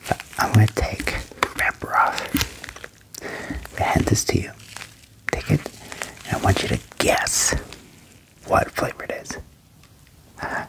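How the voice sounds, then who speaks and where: Young man, breathy whisper, slow unhurried delivery, gentle ASMR doctor roleplay, close-miked. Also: poor audio. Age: young adult. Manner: gentle personal attention, short reassuring phrases. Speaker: ASMR performer playing an alien doctor. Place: small wood-panelled room indoors. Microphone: close microphone with furry windscreen.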